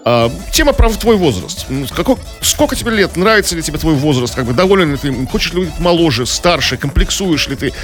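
Quick, lively male radio co-host. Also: a little high. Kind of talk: casual comic morning radio banter. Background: music.

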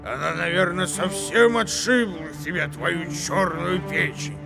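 A man voicing a huge, brutish, gluttonous ogre who questions in a drawn-out, menacing way in a folk tale. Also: high-pitched, gravelly, voice pushed up. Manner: slow, drawn-out interrogating. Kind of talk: storybook villain voice in a folk tale. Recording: loud music behind the voice.

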